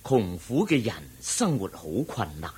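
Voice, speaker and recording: An actor playing a solemn, humble ascetic Buddhist monk who teaches patiently in measured Hong Kong Cantonese, in radio drama style. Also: male voice, medium pitch, big pitch swings, a little mellow, slightly rough, exaggerated voice. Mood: gentle, firm. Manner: measured, deliberate, didactic.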